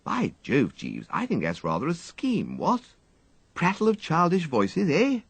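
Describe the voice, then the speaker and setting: Posh young english gent, whiny n flighty, voice leaping up high, dithers a lot, audiobook comedy. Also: male voice, wildly swooping pitch, measured pace, gravelly, slightly rough, dry recording, full character voice. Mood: excited. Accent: upper-class English. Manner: exclamatory, dithering, drawling dandyish complaint. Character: fretful young upper-class English gentleman, flighty and plaintive.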